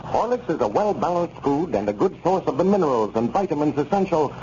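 A man's voice: fairly high pitch, big pitch swings, a little fast, dark tone. A man announcing and reading scripted advertising in a 1940s radio style. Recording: muffled old radio, faint noise.

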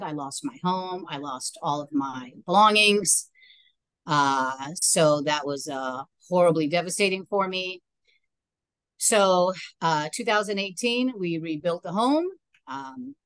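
A middle-aged woman from Trinidad speaks as a webinar guest in a conversational way. Her voice is on the low side, and the video-call sound is dry, with little room in it. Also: fairly bright tone, no room sound. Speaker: yacht sales representative, webinar guest. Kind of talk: conversational personal account in a webinar.

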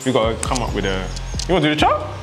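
A young man talks through a glute workout in a home gym, joking around and cueing each move as he goes. His voice sits at a middle pitch for a man, and music plays underneath. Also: wildly swooping pitch, very fast. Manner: joking, upbeat, coaching as he exercises. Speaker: fitness vlog host. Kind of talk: casual workout vlog chat.

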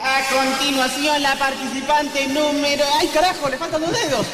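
Tactless formal announcer, very high pitch, easily flustered, parody radio drama, echoing hall. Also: male voice, steady tone, moderate pace. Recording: echoey hall reverb.

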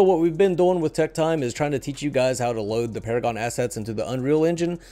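A man's voice: medium pitch, mellow. A middle-aged man hosting a gaming podcast in an informal, conversational way, talking into a headset indoors. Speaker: show host. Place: indoor room at a desk.

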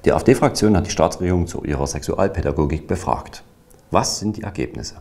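Man, very low voice, scripted news questions, interviewer. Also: lively intonation, brisk, a little mellow.

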